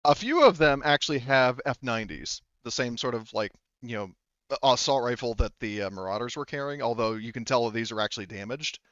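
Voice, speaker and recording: A male game master improvising narration in a casual, rambling, halting manner, swearing here and there. His voice is smooth and medium-pitched, on a dry, slightly dull recording. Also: expressive, fairly bright tone, no echo.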